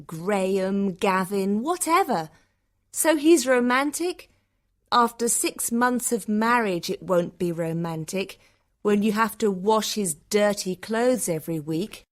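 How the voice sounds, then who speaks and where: An actress plays a cynical young woman in a dramatised story. She is cutting and easily irritated, drawing out her lines at a slow pace, with pitch that rises and dips widely. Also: animated. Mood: scornful, irritated. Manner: sarcastic, cutting. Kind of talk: acted audiobook dialogue.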